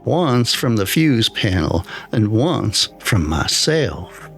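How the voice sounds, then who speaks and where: A man's voice: lowish voice, sing-song, slow, vocal fry, soft background music, exaggerated voice. A man voicing a plain-spoken, wistful retired engineer of eighty-one in an audio horror story, in clipped sentences. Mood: wry, fond.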